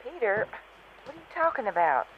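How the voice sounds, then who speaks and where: A high-voiced, worried wife, played by an actress, talks through a dull telephone filter in a radio play. She sounds puzzled, and her pitch moves about a lot. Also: very animated, fairly quick. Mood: worried, confused. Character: worried, puzzled wife on a late-night call. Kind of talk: 1940s radio drama acting. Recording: dull, noisy telephone line.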